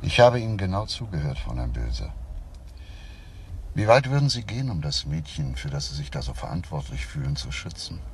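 An actor playing a calm, persistent police detective in a crime radio play, polite and probing. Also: male voice, a bass voice, a little fast, gravelly, a little hiss or hum, exaggerated voice. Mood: calm, insistent. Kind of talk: German crime drama dialogue.

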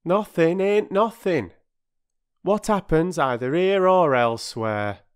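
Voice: mid-range voice, slow pace, clean tone, voice pushed up, lightly acted. A narrator voicing an indignant working-class railway porter from a children's storybook, lofty and aggrieved, with ungrammatical speech. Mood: huffy, lofty. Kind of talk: children's storybook character reading.

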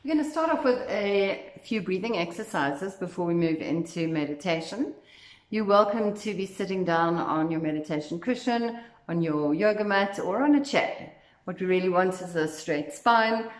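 A woman leading a guided meditation as instructor, speaking in a gentle, soothing, unhurried way. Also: on the low side, expressive. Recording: echoey, roomy sound.

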